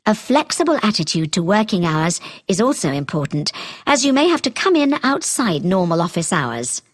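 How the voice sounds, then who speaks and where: Female recruiter, brisk and businesslike, animated pitch movement, organised presentation for a listening exam. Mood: businesslike, informative. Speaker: company recruiter giving a talk. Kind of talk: scripted informational talk for a listening exam.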